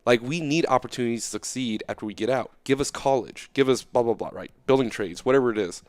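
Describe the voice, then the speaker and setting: A young man talking casually as an interview guest, animated and loose, often checking in with "right?". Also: mid-range voice, fast talker, no echo, studio quality.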